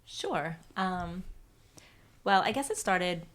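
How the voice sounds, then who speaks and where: A woman in her forties hosting a podcast, speaking in a soft, reflective way with many pauses. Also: on the low side, expressive. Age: 40s. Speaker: podcast host. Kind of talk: casual faith-based chat.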